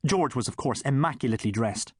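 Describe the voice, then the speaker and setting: A man's voice: mid-range voice, animated, fast talker, husky, dry recording, studio quality. A man with an Irish accent reading a comic story as an audiobook narrator.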